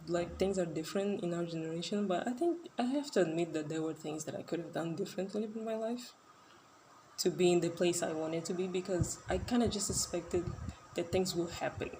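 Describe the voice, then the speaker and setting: A woman's voice: lowish voice, mellow, slight background noise, low-quality recording. A woman in her twenties talking in a casual solo podcast monologue, rambling and hesitant with many fillers and restarts. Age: 20s. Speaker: podcast host.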